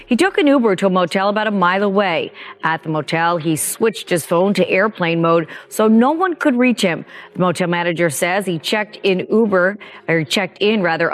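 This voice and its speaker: A woman news anchor reading a TV report in a brisk, polished way. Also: on the low side.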